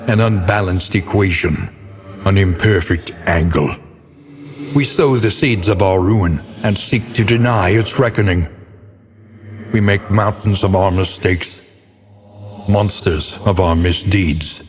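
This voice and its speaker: A man playing a brooding, eloquent mentor who narrates a dark video game in a solemn, theatrical, portentous manner. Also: low-pitched, slow, long reverb tail, a little hiss or hum. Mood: grave, reflective. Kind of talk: dramatic scripted game narration.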